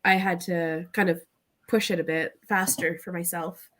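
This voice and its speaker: Congested woman with a bright voice. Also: fairly low pitch, steady tone, normal speed. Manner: chatty, laughs easily. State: congested, blocked nose. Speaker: panelist. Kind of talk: casual chat.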